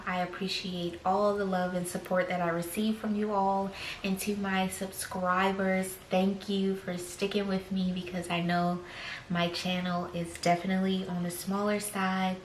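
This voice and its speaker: Slow-talking young woman, slightly raspy. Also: medium pitch, a little hiss or hum. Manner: slow, chatty, with frequent fillers and soft whispered asides. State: slightly raspy from choking on a drink. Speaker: fashion vlogger. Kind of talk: casual unboxing vlog. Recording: echoey room.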